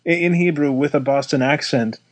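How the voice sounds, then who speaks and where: A man's voice: fairly high pitch, moderate pace, no room sound. A man co-hosting a casual chat, telling stories and laughing often. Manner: chatty storyteller, laughs often. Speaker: podcast co-host.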